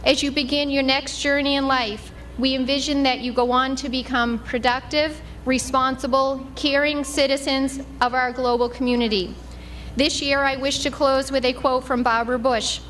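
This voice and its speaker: A woman with a high-pitched voice. She speaks as a school superintendent, reading a formal prepared address. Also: little pitch movement, normal speed, a bit bright.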